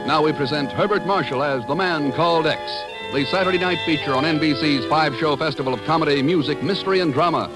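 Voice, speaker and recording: A man's voice: a little high, fast, hardly any pauses, rough recording. An American man announcing on 1950s radio, reading scripted promotions in a formal, polished way. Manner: formal, polished sales delivery. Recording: vintage 1950 radio broadcast. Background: music.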